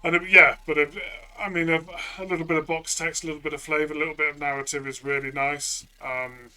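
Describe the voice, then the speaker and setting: A man's voice: on the high side, level tone, fast, bright, forceful tone, clear, resonant tone. A man co-hosting a casual review discussion from a player's side, agreeable and conversational, feeling out his phrasing. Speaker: co-host and player.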